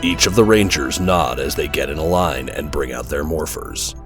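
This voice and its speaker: A man's voice: rumbling low, normal speed. A man playing the narrator of a superhero audio drama, reading scripted scene descriptions. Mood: dramatic. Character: deep-voiced narrator of a superhero adventure. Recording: loud music under the narration.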